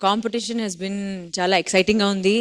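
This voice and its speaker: A woman's voice: medium pitch, steady tone, moderate pace. A woman speaking as a dance show judge, explaining competition standings on stage.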